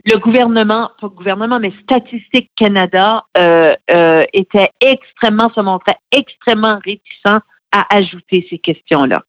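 A woman's voice: medium pitch, moderate pace, dry recording. A middle-aged woman speaking as a radio interview guest, explaining things earnestly with frequent hesitations. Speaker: radio guest, head of a francophone federation. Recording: slightly muffled, dry recording.

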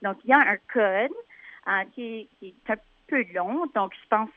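A woman's voice: a little high, measured pace, dry recording. Franco-Ontarian woman on a thin phone line. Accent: Franco-Ontarian French. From Northern Ontario, Canada. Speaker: interview guest, community organizer. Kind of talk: casual conversational explanation.

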